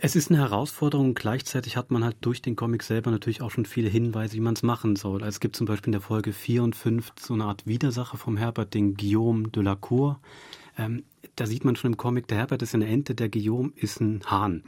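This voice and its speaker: A man speaks as a director in an explanatory studio interview. His voice is lowish and husky and he talks quickly, thinking through examples. The sound is close and dry. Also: fairly even intonation, mellow, dry recording. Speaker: radio drama director, guest.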